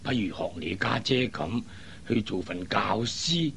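A man's voice: lowish voice, animated, unhurried, creaky, a bit bright, exaggerated voice. A man playing a worried, old-fashioned middle-aged father in a Cantonese radio drama, fretful and hesitant. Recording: faint background noise.

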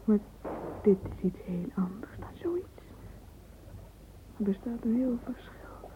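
A woman's voice: medium pitch, very animated, slow. An actress playing a dreamy, romantic young woman in a radio play monologue, whispering intimately and fervently through a narrow, phone-like recording. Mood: hushed, infatuated. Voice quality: breathy, whispery. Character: dreamy romantic young woman lost in yearning love fantasies.

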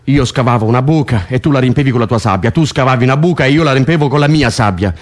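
Guy acting worn out prisoner in a radio play, talks fast, pushy, swears a lot, kinda wry. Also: medium pitch, lightly acted. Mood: weary, wry. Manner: foul-mouthed, exasperated, insistent. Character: weary, driven male political prisoner, bossy and wry cellmate. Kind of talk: Italian radio drama acting.

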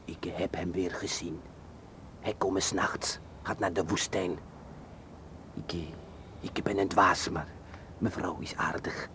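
A low male voice as a poor Egyptian driver in a radio play. He wheedles and haggles in broken phrases, over faint street noise. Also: fairly even intonation, slow pace, pauses often, a bit bright. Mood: secretive, earnest. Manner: broken Dutch, ingratiating, haggling. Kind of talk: radio drama acting.

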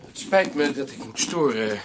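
A male actor playing a loyal, deferential doctor in a radio drama, with a husky voice and an earnest manner. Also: medium pitch, lively intonation, normal speed, background noise, lightly acted. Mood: apologetic, earnest.

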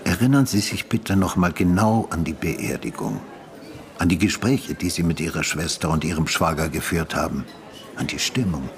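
An older man with a very low voice, a retired detective in a naturalistic radio play. He asks short, sober questions, calm, patient and probing. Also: moderate pace. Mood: insistent, attentive. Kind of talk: naturalistic German radio drama acting.

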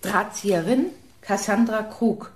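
An actress playing a brisk, sceptical investigator's partner in a radio crime drama, matter-of-fact and questioning. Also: female voice, mid-range voice, natural voice. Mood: puzzled, deducing.

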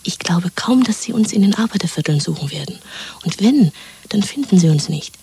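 Dreamy young woman plotting escape with her lover: very breathy whisper, soft mellow tone, coaxing and intimate, radio play. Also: lowish voice, expressive, fairly quick, full character voice. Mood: eager, dreaming. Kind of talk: 1970s German radio drama acting.

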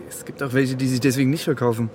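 A man's voice: medium pitch, level tone, quick pace, lightly acted. An actor playing a reluctant, bitter young man in a radio drama. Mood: bitter, resistant. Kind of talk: modern German radio drama acting. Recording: clearly noisy ambient background.

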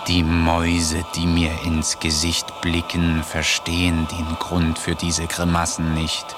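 An actor voicing a secretive, eerie owl in a radio play, speaking low and hushed. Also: male voice, completely flat delivery, takes it slowly, noisy background. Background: music.

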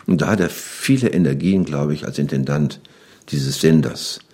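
A man's voice: a bass voice, normal speed, warm tone, breathy edge. A man giving explanatory comments in an interview.

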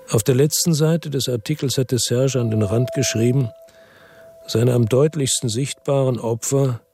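An actor playing a weary, brooding middle-aged narrator in a radio drama, speaking in a somber, measured, reflective way. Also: male voice, medium pitch, a little mellow, breathy edge. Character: weary, brooding middle-aged male first-person narrator, world-worn investigator.

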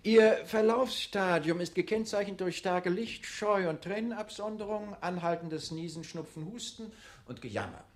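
A man playing a pedantic medical lecturer in a radio play, reciting facts in a clinical, measured manner. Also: sits high, natural voice. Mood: pedantic, dry.

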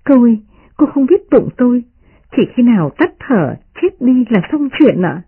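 A performer voicing a sensible young maidservant woman who scolds gently and respectfully, in a storybook reading. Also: medium pitch, very animated, moderate pace, vocal fry, breathy edge, no room sound, narrow, tinny audio, voice pushed up, exaggerated voice. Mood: earnest, reproving. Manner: earnest, reproving.

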